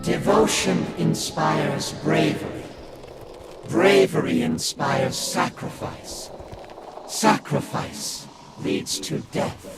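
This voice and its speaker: An actor playing a solemn oracular sage in a game cutscene, speaking in cryptic, declamatory pronouncements. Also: male voice, a little high, slow, long pauses. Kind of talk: video game cutscene acting. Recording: voice under loud cinematic music.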